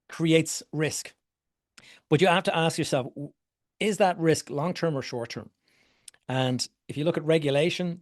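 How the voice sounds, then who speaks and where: A middle-aged man from Ireland giving a workshop talk to a live group, conversational, often asking a question and then answering it himself. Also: a little high. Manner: conversational, repeats words, asks questions and answers them. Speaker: property investment coach.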